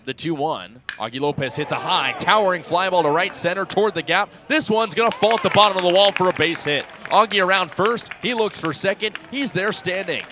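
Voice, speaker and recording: A man's voice: high-pitched, brisk, few pauses, a crowd in the background, background noise. A young man doing live radio baseball play-by-play in short, clipped, descriptive phrases, his energy following the action on the field. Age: young adult. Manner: clipped descriptive phrasing, rising excitement on plays. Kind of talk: live radio sports commentary.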